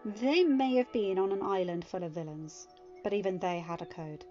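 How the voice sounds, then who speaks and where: A woman reading a fan story aloud as narrator, at a steady, even pace. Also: medium pitch, moderate pace. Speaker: fanfiction audiobook reader. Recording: muffled audio with soft music.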